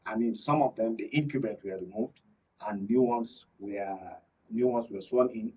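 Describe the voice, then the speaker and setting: A man with a Nigerian accent giving political analysis as a panel guest, haltingly, often repeating and rephrasing. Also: lowish voice, dark tone, slightly rough, recorded from a distance, muffled recording.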